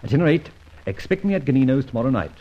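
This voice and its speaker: Husky, dramatic gentleman adventurer. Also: mid-range voice, sing-song, rapid-fire. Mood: amused, suave. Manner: theatrical, clipped old-fashioned gentlemanly phrasing. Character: disgraced former army officer turned gentleman adventurer, dramatic, wry. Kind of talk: old radio drama acting. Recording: dull, lo-fi old radio recording.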